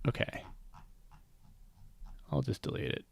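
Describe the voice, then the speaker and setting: A man's voice: slow, darkish tone, lo-fi sound. A man chatting casually on a game stream, rambling and wry, often trailing off with um and uh.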